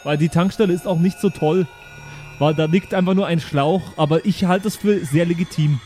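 A man's voice: on the high side, moderate pace, darkish tone, faint music behind, lightly acted. A man playing a cocky young spaceship crewman in an improvised audio play, slangy, with a verbal tic tagged onto sentences. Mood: cheeky, slangy.

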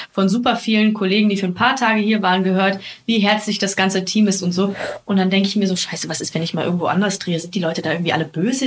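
Young woman, podcast host, chatty, colloquial, curious questions. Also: medium pitch. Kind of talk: casual podcast chat.

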